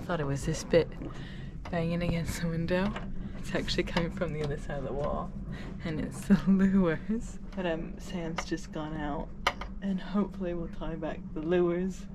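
A young woman talking quietly to a handheld camera in a small boat cabin at night. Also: on the low side, normal speed. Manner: relaxed, hushed late-night talk. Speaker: sailing vlogger. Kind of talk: casual vlog chat. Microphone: handheld action camera close up. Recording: loud wind noise on a boat. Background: howling wind.

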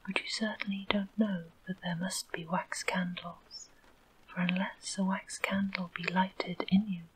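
A woman voicing the bossy wind spirit of a storybook, all in a soft whisper. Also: mid-range voice, close to an everyday voice, rough recording.

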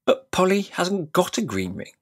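A man's voice: high-pitched, big pitch swings, moderate pace, a bit bright, breathy edge, voice pushed up, full character voice. A man voicing a blunt, indignant young schoolboy in a storybook reading. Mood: defiant, indignant. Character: angry, defiant young schoolboy. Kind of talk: audiobook character voice.

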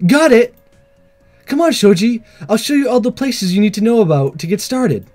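Guy doing super enthusiastic anime schoolgirl, high and chatty, friendly visual novel character. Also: expressive, normal speed, a little mellow, soft background music. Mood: cheerful, friendly. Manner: eager, chirpy, chatty.

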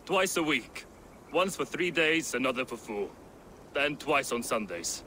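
A man's voice: medium pitch, lively intonation, measured pace, bright, forceful tone, a little hiss or hum. A man playing a gossipy, good-humored Norse warrior in a video game, with a wry, forthcoming manner. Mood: wry, confiding. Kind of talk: video game dialogue acting.

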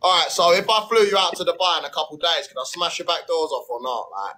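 A man's voice: a little high, pushed and bright. A brash young man, hosting a livestream from his phone indoors and bantering crudely with viewers. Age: young adult. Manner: brash, swearing, fast slang banter. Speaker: livestream host. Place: indoor room. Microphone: phone at arm's length.

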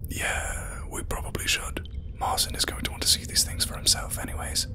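A man playing a shaken scientist who records a tense log deep inside a cave. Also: moderate pace. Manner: low hushed whispering, tense. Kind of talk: horror audio drama narration.

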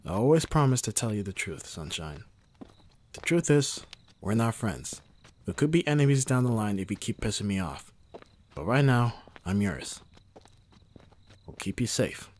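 A man playing a gruff, protective young bodyguard in an ASMR roleplay, with a husky voice and a sardonic, intimate delivery. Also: lowish voice, sing-song, moderate pace, pauses often, a little mellow. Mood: firm, guarded. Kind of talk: ASMR roleplay audio drama.

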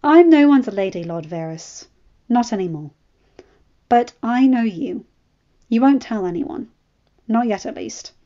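Sharp, bold noblewoman acted by a woman. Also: a little high, animated, measured pace, long pauses, highs cut off. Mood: blunt, confident.

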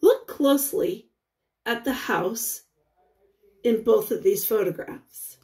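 A woman teacher reads a picture book to young children in a high voice that rises and falls widely. She speaks in short, deliberate phrases and stops to ask the listeners questions. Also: animated, darkish tone. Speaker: teacher reading aloud to children.

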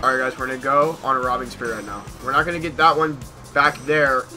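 A young American man giving casual gameplay commentary in an excitable manner, repeating short phrases. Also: medium pitch, fast, music in the background. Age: young adult. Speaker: gaming YouTuber. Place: small bedroom at home.

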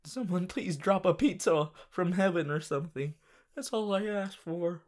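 A man voicing a goofy, melodramatic teenage anime boy in a fan audio roleplay, whiny and drawn-out, talking to the listener. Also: high voice, a little mellow, lightly acted. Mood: melodramatic despair.

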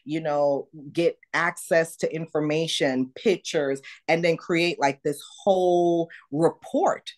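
A woman's voice: slow pace, hardly any pauses. A middle-aged woman from Jamaica with a mild Jamaican accent, telling her story animatedly as a guest on a video call interview. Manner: animated, jumps high to act out her own past words. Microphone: video call webcam.

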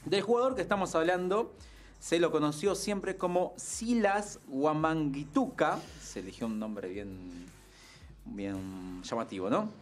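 Hombre contando una historia en la radio. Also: male voice, fairly high pitch, animated, unhurried. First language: not Spanish. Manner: storytelling, many fillers and tag questions. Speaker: sports columnist. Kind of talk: casual radio chat. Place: small radio studio. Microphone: studio mic on boom arm, headphones.

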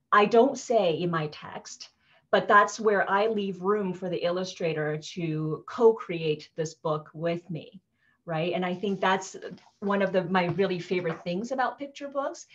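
A woman author in her thirties chatting casually about her picture book over a video call, thoughtful, with many fillers. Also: medium pitch. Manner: warm, thoughtful, many ums and you-knows. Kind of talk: casual live book talk. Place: living room with fireplace. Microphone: laptop webcam video call. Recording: dull lo-fi video call audio.

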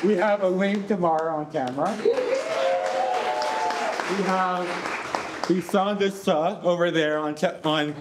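A young man hosting a live event, talking to an audience in an animated, conversational and inviting way. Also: high voice, a little slow, pauses often, over a noisy crowd, very noisy. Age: young adult. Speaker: event host. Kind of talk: informal live introduction to an audience. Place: indoor rehearsal hall in front of an audience. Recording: echoey room with audience reactions.

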